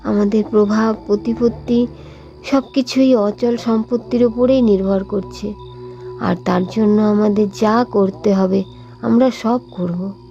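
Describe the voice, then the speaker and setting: Woman playing a slow, pleading rich wife. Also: medium pitch, steady tone, creaky, dark tone. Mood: desperate pleading. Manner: pleading, fretful. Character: anxious, pleading wife of a wealthy family. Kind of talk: dramatic Bengali horror cartoon story acting. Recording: dull recording with background music.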